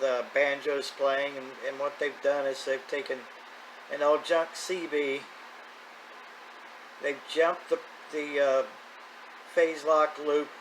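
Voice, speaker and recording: A man's voice: mid-range voice, fairly bright tone. Man in his fifties, noisy recording. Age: 50s. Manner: plain-spoken, folksy, unscripted. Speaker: radio hobbyist video host. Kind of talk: casual rambling vlog talk. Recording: clear background noise.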